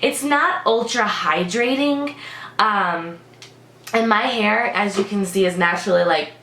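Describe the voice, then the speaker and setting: A woman's voice: medium pitch, measured pace, bright, forceful tone, echoey room, slight background noise. A woman beauty vlogger with a congested, sick-sounding voice, chatting casually through a product review with lots of "like" and "um". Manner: chatty, many "like" and "um" fillers.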